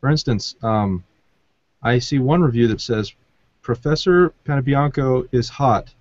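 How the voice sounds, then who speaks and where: Man, podcast host, medium pitch, casual conversational interviewing, very dry room sound, slightly dull audio. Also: unhurried, darkish tone, dry recording.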